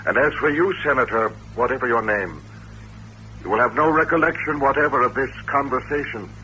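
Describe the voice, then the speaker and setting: A man plays a young mutant who controls minds in an old radio play. He is calm, deliberate and coldly commanding. The recording is dull and faintly hissy. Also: medium pitch, natural voice. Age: young adult. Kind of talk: old-time radio drama acting.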